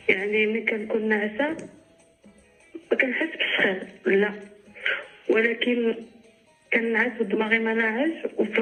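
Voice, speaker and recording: A woman in her forties with a Moroccan accent, calling a radio show by phone and haltingly describing her symptoms. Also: a little high, a bit bright, poor audio. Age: 40s. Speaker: caller. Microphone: phone line.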